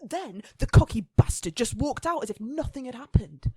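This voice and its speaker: A woman's voice: on the high side, very animated, normal speed, dark tone, breathy edge, dry recording, heavily acted. A woman playing a haughty, impatient immortal entity in a radio play, scornful, sardonic and sweary. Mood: irritated.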